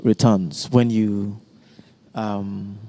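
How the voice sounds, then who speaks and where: A man leading a thoughtful group talk in a museum gallery, his voice somewhat low and mellow. He hesitates often and restarts sentences as he searches for the right words. His voice rings with the long reverb of a large hall. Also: little pitch movement, drawn-out words. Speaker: discussion host. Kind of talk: reflective group conversation.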